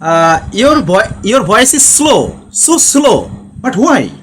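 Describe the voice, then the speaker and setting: A man from Bangladesh, chatting on a live stream in halting English and asking each question several times over. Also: sits high, sing-song, fairly bright tone, a little hiss or hum. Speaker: live-stream chat participant. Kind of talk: casual live-stream chat.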